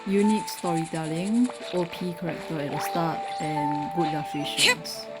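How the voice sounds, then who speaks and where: A woman's voice: deep voice, moderate pace, over loud music. A woman giving casual commentary while playing a game. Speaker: gamer playing and reacting.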